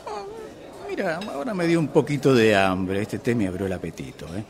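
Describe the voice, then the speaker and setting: A timid, easily distracted Argentine boyfriend acted by a man in a comic radio play. He is hesitant and whiny, with a Rioplatense accent and very lively intonation, over a noisy crowd. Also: mid-range voice, a little fast. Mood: sheepish, hungry. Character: hapless, hungry, wavering boyfriend afraid of his girlfriend. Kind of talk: radio drama comedy acting.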